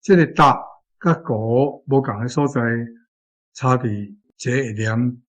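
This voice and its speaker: A Taiwanese man with a medium-pitched voice teaches language. He explains word choices and demonstrates phrases piece by piece. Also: a little slow. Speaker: language teacher giving model translations.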